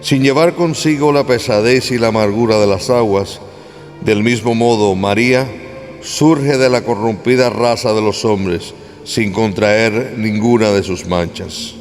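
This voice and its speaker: An actor playing a solemn saint reciting sacred praise in measured, reverent phrases for a radio drama. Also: male voice, lowish voice, natural voice. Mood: solemn reverence. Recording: long reverb, music behind.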